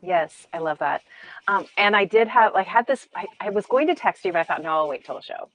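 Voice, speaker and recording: A woman's voice: mid-range voice, lively intonation, fairly quick, fairly bright tone, dry recording, rough recording. A woman chatting casually about meditation, rambling and giggling as she tells a story.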